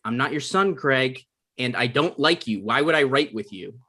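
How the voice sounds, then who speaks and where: A man playing a deadpan, argumentative adult son and aspiring writer in a comedy script read. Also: mid-range voice, moderate pace. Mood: annoyed.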